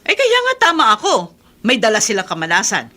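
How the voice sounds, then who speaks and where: A woman's voice: medium pitch, huge pitch swings, a little fast, pushed and bright, full character voice. A woman with a Filipino accent playing an irritable, spiteful aunt in a radio drama, complaining in exasperation. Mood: angry, exasperated.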